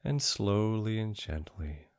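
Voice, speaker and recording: A man leading a guided sleep meditation in a soothing, gentle, hushed voice, breathy and often trailing into a near-whisper, with long pauses between short phrases. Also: fairly low pitch, lively intonation. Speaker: meditation guide, podcast host.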